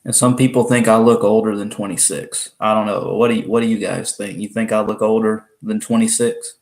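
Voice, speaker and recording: Young Southern guy from Alabama, deep and gravelly, rambling live-stream host. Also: steady tone, a little fast, darkish tone. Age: 20s. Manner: rambling, chatty, laughs often, restarts. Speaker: livestream host. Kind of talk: casual live chat with viewers.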